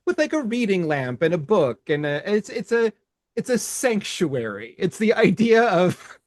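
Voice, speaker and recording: A man co-hosting a podcast, reading his own rhyming poem in a measured cadence and then chatting with frequent restarts. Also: high-pitched, very animated, no echo, studio quality. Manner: slow, deliberate, with halting restarts in chat. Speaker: podcast co-host.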